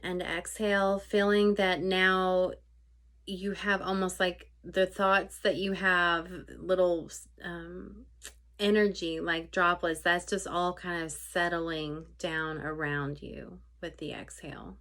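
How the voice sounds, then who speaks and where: A woman with a fairly low voice guides a meditation. She speaks off the cuff in a conversational way, pausing mid-phrase and letting the ends of her instructions trail off softly. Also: little pitch movement. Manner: informal, conversational, halting phrasing with soft trail-offs.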